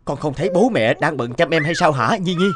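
A man's voice: fairly high pitch, expressive, brisk, natural voice. A man voicing a busy young father in a cartoon, exclamatory in manner. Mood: impatient. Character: busy young father, proud of son, impatient with daughter. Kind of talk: cartoon voice acting. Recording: music behind the voice.

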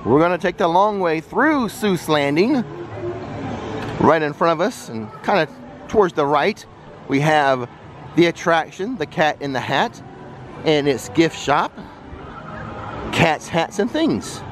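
Male tour vlogger, slightly high voice, addresses viewers directly, recorded outdoors amid clear park noise. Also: sing-song, a little slow, long pauses. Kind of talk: unscripted walking tour narration. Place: outdoors, walking through a busy theme park.

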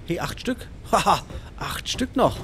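A man's voice: sits high, very animated, measured pace, fairly bright tone, soft background music. A man giving casual let's play commentary, thinking aloud and chatting to himself and his viewers.

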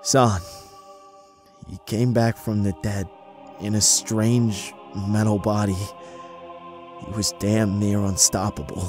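Man voicing a weary, low-voiced giant monster. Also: flat delivery, darkish tone. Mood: sorrowful. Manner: slow, heavy, sighing delivery. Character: weary, gruff, grieving giant male monster. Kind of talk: dramatic comic dub acting. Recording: dramatic music underneath.

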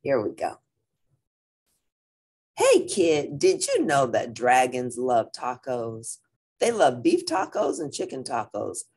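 A woman with a husky voice reading a picture book aloud to young listeners in an animated, deliberate manner, with brief asides. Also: a bass voice. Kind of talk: expressive children's read-aloud with brief asides.

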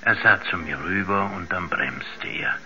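An actor playing a slow, puzzled village driver giving witness in a 1970s radio drama. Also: male voice, sits low, background noise. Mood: puzzled, deliberate. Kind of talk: 1970s science-fiction radio drama acting. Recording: dull 1970s radio recording.

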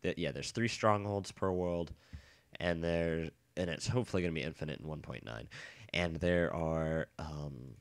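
A young man with a bad cold and a nasal, stuffy voice, chatting casually and rambling excitedly while playing a game. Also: a bass voice, normal speed, dry recording. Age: young adult. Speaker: let's play gamer. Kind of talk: casual gameplay commentary. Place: dorm room.

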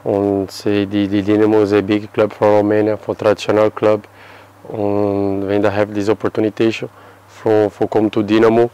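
A man in his thirties from Brazil, answering interview questions in halting English with a Brazilian Portuguese accent and many restarts. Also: fairly low pitch, completely flat delivery, mellow, clear, resonant tone. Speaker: football player. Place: outdoor terrace by a yellow building.

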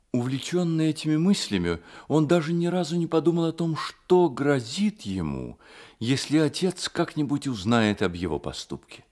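A man's voice: mid-range voice, a little mellow. A man reading literary narration as the storyteller of a radio play.

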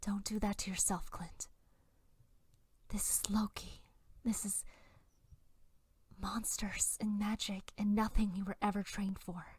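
A woman's voice: mid-range voice, level tone, a little slow, pauses often, darkish tone, natural voice. A woman in a fan dub playing a cool, guarded, wry super-spy agent. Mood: low, earnest. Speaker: amateur voice actor in a fan dub. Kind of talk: fan dub film acting.

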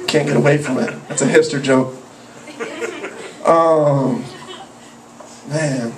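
A man's voice: a little high, takes it slowly. Profane young man doing stand-up. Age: young adult. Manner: profane, rambling, jumps into yelped character voices. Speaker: stand-up comedian. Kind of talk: open mic stand-up. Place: small cafe with a live audience. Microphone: handheld mic, filmed from a far camera. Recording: roomy, distant camera audio with room noise. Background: audience laughter.

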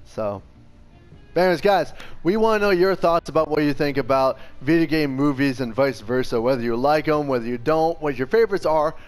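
A man's voice: a little high, fairly quick, soft background music. A man hosting a gaming channel, chatting casually and enthusiastically with plenty of slang and swearing. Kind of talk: casual chat over gameplay.

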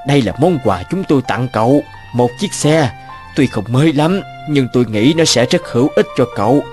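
A man's voice: mid-range voice, lively intonation, moderate pace, a little mellow, lightly acted. A man playing a kindly middle-aged garage boss in a cartoon, considerate and plain-spoken. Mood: warm, encouraging. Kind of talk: cartoon dubbing. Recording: music behind the voice.

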